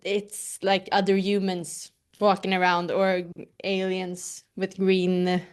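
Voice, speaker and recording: A woman's voice: medium pitch, monotone, slow pace, clean tone. A woman with a Swedish accent, a native Swedish speaker, giving hesitant interview answers and searching for English words. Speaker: actress interviewee.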